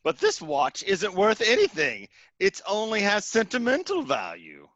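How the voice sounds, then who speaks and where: A clownish comic henchman, played by a man, hammy and loud on a dull recording. The voice is high and sharp and leaps around exaggeratedly. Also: huge pitch swings, unhurried, dry recording, exaggerated voice. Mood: hammy joking. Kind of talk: script table read.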